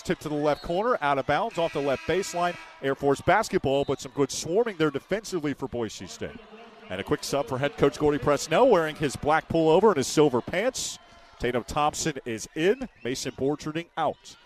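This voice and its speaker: A man doing live radio play-by-play of a basketball game in clipped, descriptive phrases. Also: a little high.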